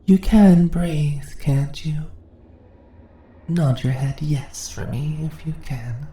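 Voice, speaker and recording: A woman acts out a predatory female spider monster in a fantasy ASMR roleplay. She speaks extremely slowly in a low, breathy voice with some creak, slipping into whispers. Her manner is seductive, mocking and in control, heard in a slightly echoing space. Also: fairly even intonation, warm tone. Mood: mocking, commanding. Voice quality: breathy, often dropping into whispers. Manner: slow, teasing, condescending, drawn-out questions. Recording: roomy echo, faint background noise.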